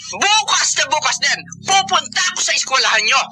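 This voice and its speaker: A woman's voice: sits high, animated, fairly quick, noisy background. An actress playing a strict, fiercely protective middle-aged Filipino mother in a radio drama, with an emphatic, emotional delivery. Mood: angry, anguished.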